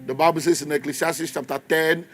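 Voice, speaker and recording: A man's voice: a little high, fairly even intonation, a little fast, faint music behind. A man in his fifties with a Nigerian accent, preaching a sermon in a church, stressing and repeating key phrases and leading the congregation in call and response. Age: 50s.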